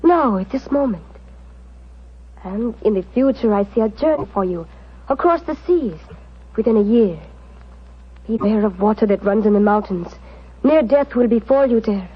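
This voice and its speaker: A woman playing a mysterious, proud fortune-teller in 1940s radio drama style, with solemn, deliberate, dramatic phrasing, on an old recording. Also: fairly high pitch, animated, husky, highs cut off. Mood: ominous. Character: mysterious Romani fortune-telling woman, musical, proud and wistful.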